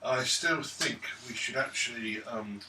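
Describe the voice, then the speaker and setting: A man of medium pitch talks casually as a player at a tabletop game. He sounds some way from the mic in an echoey room, with clear background noise. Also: a little slow, recorded from a distance.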